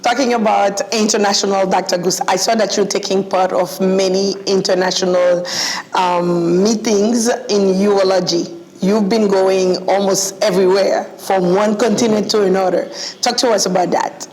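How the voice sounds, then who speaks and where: A woman's voice: mid-range voice, level tone, moderate pace, a little mellow, poor audio. A woman hosting an interview, prompting her guest with inviting questions. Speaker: interview host.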